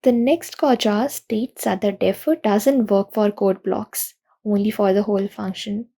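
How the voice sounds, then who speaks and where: A young woman giving a recorded conference talk, explaining programming pitfalls in an earnest, steady way. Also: mid-range voice, unhurried. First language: not Russian. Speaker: conference speaker.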